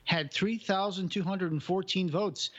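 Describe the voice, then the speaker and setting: Man, podcast co-host, casual rambling chat, slightly raised pitch, muffled lo-fi sound. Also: moderate pace, a bit bright. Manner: rambling, many restarts and fillers.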